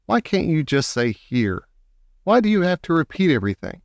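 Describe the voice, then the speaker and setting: A man voicing a female spider in a storybook, brisk, orderly and slightly impatient as she runs things. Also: fairly high pitch, sing-song, dry recording. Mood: exasperated. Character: female spider, brisk bossy meeting leader.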